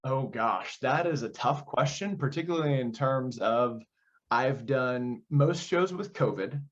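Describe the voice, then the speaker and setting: A man's voice: medium pitch, lively intonation, unhurried. A young American man giving earnest, explanatory answers in a conversational interview as a theater director and actor. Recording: dull, lo-fi audio.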